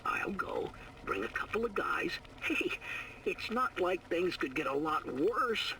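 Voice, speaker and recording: A gravelly, bitter working-class man for a game audio diary. His delivery swings widely in pitch, with sardonic muttering. He sounds far from the mic on a noisy old recording. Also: huge pitch swings, measured pace, exaggerated voice. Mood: cautiously hopeful. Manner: slangy, sardonic, muttering with sighs and chuckles.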